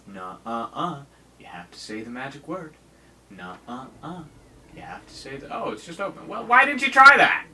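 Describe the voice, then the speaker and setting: A man's voice: mid-range voice, sing-song, moderate pace, pushed and bright, distant mic, slight background noise. A man giving jokey, rambling let's-play commentary on a game, with mock-dramatic outbursts. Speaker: gaming video host.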